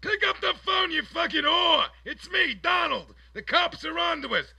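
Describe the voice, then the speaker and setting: A man's voice: extremely high pitch, lively intonation, moderate pace, creaky, pushed and bright, exaggerated voice. A man playing a pushy, nosy character in a prank phone call. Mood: frantic shouting. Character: pushy, folksy male lodge raffle caller. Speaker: prank caller.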